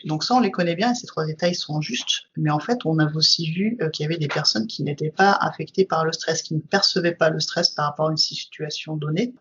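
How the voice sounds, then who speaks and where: Casual lo-fi podcast guest, a woman with a husky, breathy voice, explaining her subject in long, flowing stretches, lots of "euh" fillers, recorded on muffled, dull audio. she sounds like she's talking with someone she's comfortable with. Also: low voice, a little fast, hardly any pauses, a bit bright. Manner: explains at length with frequent fillers. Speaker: guest, trainer.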